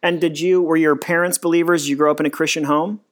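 A man's voice: a little high, level tone, quick pace. A man hosting a podcast interview, asking casual questions in a friendly way, with fillers and short affirmations. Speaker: podcast host. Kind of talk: interview host, scripted intro then casual questions.

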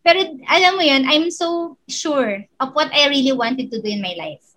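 High-voiced Filipino woman, earnest. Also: expressive, normal speed, pushed and bright. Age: 30s. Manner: earnest, mixes English and Tagalog. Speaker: guest. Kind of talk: casual podcast chat.

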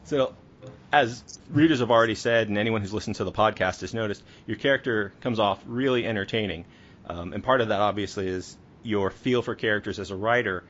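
A man's voice: fairly low pitch, fairly quick, fairly bright tone, muffled recording. A man working as a podcast interviewer, asking questions in a conversational style.

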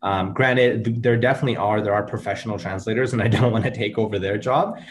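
A young man in his twenties whose first language is Urdu speaks earnestly as an interview guest. His voice sits a little low, and he pauses often with ums and restarts his sentences. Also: a little hiss or hum. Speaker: interview guest, medical student. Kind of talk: casual interview answers.

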